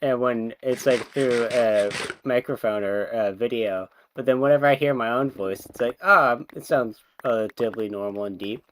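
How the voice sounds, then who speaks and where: Casting: young adult man, streamer, loose rambling chat, laughs a lot, mid pitch. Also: moderate pace, few pauses, a bit bright, a little hiss or hum, poor audio. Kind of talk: casual chat.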